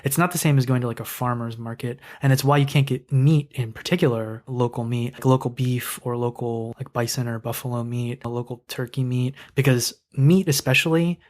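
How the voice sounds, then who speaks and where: Male podcast co-host, conversational and nitpicking, halting delivery with repeated words, argumentative. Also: mid-range voice, fairly even intonation, a little mellow. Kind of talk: casual book commentary.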